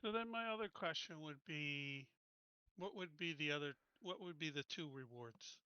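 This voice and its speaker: Guy player at an rpg session, forceful bright voice, asks stuff casually, dry slightly muffled mic. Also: on the high side, normal speed, clean tone, no room sound. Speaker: player in a tabletop roleplaying game. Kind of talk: casual questioning at the game table.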